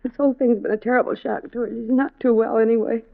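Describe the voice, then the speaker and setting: A distraught wife speaks in a high, strained voice. It is 1950s radio drama acting, caught on a tinny old recording. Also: female voice, fairly even intonation, quick pace, vocal fry. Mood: weary, bitter.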